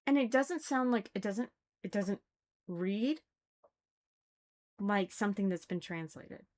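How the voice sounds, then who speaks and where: A woman giving casual, critical commentary, thinking aloud haltingly and repeating phrases for emphasis. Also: medium pitch, animated, darkish tone. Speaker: reader commenting on a religious text.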